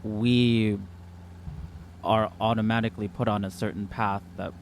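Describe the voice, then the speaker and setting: A man's voice: fairly low pitch, level tone, unhurried, a little hiss or hum. A man talking conversationally as a player in a tabletop roleplaying game. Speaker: player in an actual-play podcast. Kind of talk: in-character tabletop roleplay chat.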